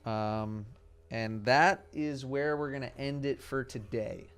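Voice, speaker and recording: A young man narrating as dungeon master, relaxed and hesitant, with many fillers. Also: mid-range voice, slow pace, smooth. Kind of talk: narrating a tabletop game.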